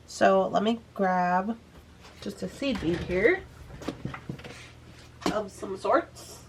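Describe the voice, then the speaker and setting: Woman, craft tutorial host, gravelly fry, casual and chatty, sniffly, faint background hiss. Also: medium pitch, drawn-out words. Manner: chatty, easygoing, talks while working. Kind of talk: casual step-by-step craft demonstration.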